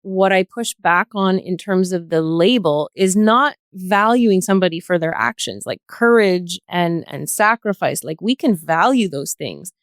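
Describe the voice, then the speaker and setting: A woman speaking as a guest in an earnest discussion, choosing words in a measured, deliberate way. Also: on the low side, animated, no echo, clean studio sound. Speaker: podcast guest, healthcare worker.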